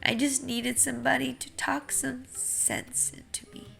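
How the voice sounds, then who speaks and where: A woman's voice: high voice, flat delivery, unhurried, vocal fry, a bit bright, faint music behind, voice pushed up, full character voice. A woman voicing a grumpy, anxious male seed in a theatrical, announcing way for a children's storybook. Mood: resolved. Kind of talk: children's picture book read-aloud.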